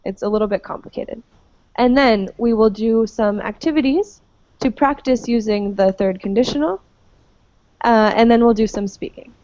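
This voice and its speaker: A woman's voice: on the high side, moderate pace, dark tone, lo-fi sound. Patient woman teaching English online. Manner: patient, many fillers. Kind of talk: live online class, friendly explaining. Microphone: computer mic on a video call.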